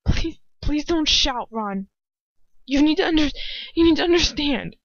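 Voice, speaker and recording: A woman playing a desperate young woman in a story, pleading urgently and haltingly, near tears. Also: fairly high pitch, lively intonation, no echo, dull audio, voice pushed up.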